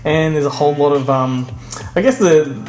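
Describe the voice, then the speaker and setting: A man's voice: on the high side, normal speed, darkish tone. A man with an Australian accent hosting a podcast, chatting casually and enthusiastically about films in an informal way. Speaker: podcast host. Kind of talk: casual film chat. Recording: roomy echo, music in the background.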